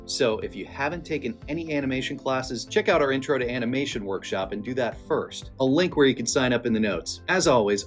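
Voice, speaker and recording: Man presenting over guitar music, slightly higher voice. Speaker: host of a voice acting studio's video channel. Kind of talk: scripted presentation to viewers.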